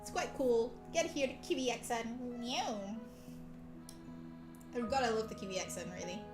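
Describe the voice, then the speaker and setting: Female streamer, very high voice, playful and teasing, loose chatty delivery over background music. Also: expressive, normal speed. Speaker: livestream host. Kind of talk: casual live stream chat, teasing viewers. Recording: echoey room, music behind voice. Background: soft background music.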